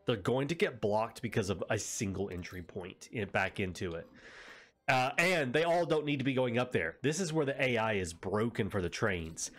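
A man with a head cold and a nasal voice, giving casual commentary as he plays a city-building game, rambling and correcting himself as he explains. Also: medium pitch. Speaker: let's play host.

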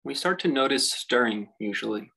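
Husky, gravelly man guiding meditation. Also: mid-range voice, lively intonation, sharp tone, rough recording. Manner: unhurried, reflective delivery with long pauses. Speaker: meditation teacher. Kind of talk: guided meditation.

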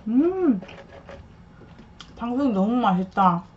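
A woman's voice: on the high side, very animated, slow pace. A young woman streaming herself eating, chatting casually, thanking viewers and murmuring between bites in a small room. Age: young adult. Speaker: mukbang streamer. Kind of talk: casual live-stream chat while eating. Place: small room indoors at a table. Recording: dull lo-fi stream audio, faint noise.

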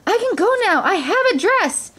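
A woman voicing a gentle, earnest, downtrodden young woman in a storybook fairy tale. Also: a little high, big pitch swings, a little slow. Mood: eager, calling.

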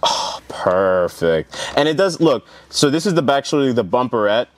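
A man's voice: mid-range voice, very animated, moderate pace. A man hosting a casual, hands-on car tutorial vlog in an enthusiastic, informal way.

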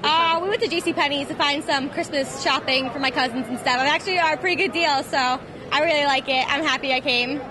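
A young woman being interviewed in a busy indoor mall, talking in a chatty, rushed way with lots of fillers. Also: high-pitched, a crowd in the background. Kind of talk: casual street interview. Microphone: handheld reporter mic. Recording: noisy crowded mall.

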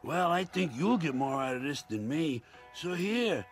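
A man with an American accent voicing a mellow, spacey, laid-back hippie camper van for a cartoon game. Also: on the high side, very animated, a little slow, faint music behind.

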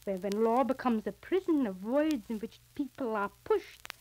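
A woman's voice: fairly high pitch, lively intonation, moderate pace, mellow, lightly acted. An actress playing an anxious, earnest middle-aged mother in a 1940s radio serial, hesitating and repeating her phrases. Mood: earnest pleading. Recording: crackly 1940s radio transcription disc.

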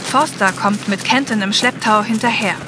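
A woman's voice: medium pitch, moderate pace, over music. A woman reading scripted story narration as the narrator of an audio drama. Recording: clear helicopter and effects noise behind. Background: ambience, helicopter, vehicles.

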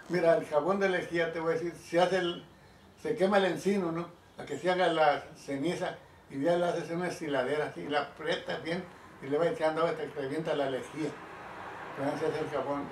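Older man, plain slow storyteller, slightly high voice, camera mic across a roomy living room. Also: fairly even intonation. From Sinaloa, Mexico. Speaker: grandfather being interviewed. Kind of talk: recalling ranch life in casual conversation. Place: home living room, indoors. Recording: roomy, distant home video with hiss.

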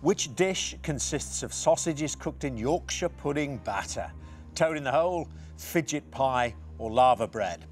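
A man in middle age hosts a television quiz in a studio, moving briskly between questions. He reads each one clearly, with a touch of chat. Faint music sits beneath his voice. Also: mid-range voice, lively intonation. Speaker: quiz show host.

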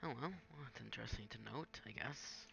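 A young man talks through a software tutorial in a casual, offhand way. His voice is bright with a creaky edge, and there is faint noise behind him. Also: medium pitch, a little fast. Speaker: gaming tutorial maker. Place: indoors at a home computer.

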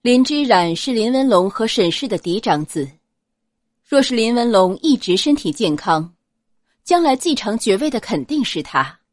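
A woman's voice: fairly high pitch, big pitch swings, normal speed, no echo, clean studio sound. A woman reading a novel as a scripted audiobook narrator, telling the story expressively.

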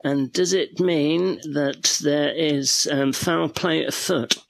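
A man's voice: on the high side, slow, creaky, faint music behind. A man playing a persistent, formal press reporter asking absurd accusatory questions in a comedy radio play. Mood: prying.

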